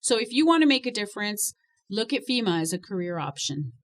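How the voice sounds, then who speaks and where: A woman's voice: medium pitch, big pitch swings, brisk, a little hiss or hum. A woman hosting a podcast interview, teasing her guests and laughing often. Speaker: podcast host. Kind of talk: casual interview chat.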